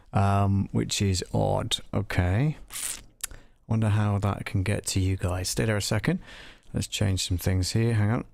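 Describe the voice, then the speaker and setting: A man hosting a live software walkthrough, talking casually and tentatively, thinking aloud as he tests things. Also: lowish voice, vocal fry, dry recording, clean studio sound. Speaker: livestream host demonstrating audio software.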